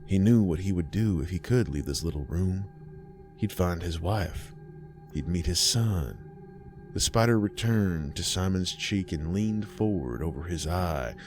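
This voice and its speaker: A man narrating a horror story in a measured, ominous way. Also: deep voice, lively intonation. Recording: music bed behind.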